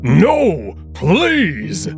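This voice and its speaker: A man voicing a young alien wolf prince, emotional and forceful, in a sci-fi visual novel reading. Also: on the high side, huge pitch swings, very slow, over music, pitched above the performer's own voice, heavily acted. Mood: desperate, panicked. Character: Amicus, desperate defiant young male alien wolf prince.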